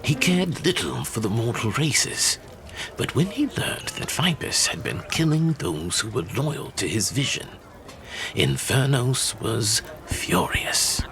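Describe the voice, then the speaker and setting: An actor playing a measured storyteller who recounts legends in solemn, deliberate, theatrical fantasy narration. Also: male voice, mid-range voice, very animated, noisy background.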